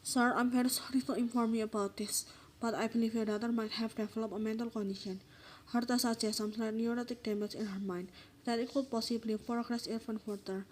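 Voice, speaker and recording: A woman playing a formal, apologetic psychiatrist in an amateur radio drama, with a measured delivery. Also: on the high side, steady tone, a little mellow, a little hiss or hum, natural voice, rough recording. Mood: grave.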